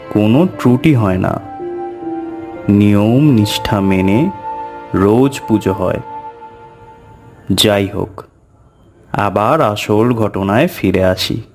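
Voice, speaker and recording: Male narrator for first-person horror story: measured, unhurried, on the deeper side, music bed. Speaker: storyteller narrator voicing a tantric recounting his past.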